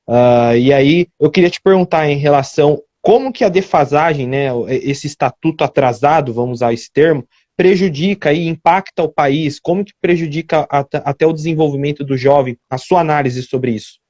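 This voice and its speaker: A man's voice: a little high, normal speed, hardly any pauses, darkish tone, no echo, dull audio. A man with a Brazilian Portuguese accent interviewing a politician, asking long questions with hesitations. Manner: hesitant, many fillers and restarts.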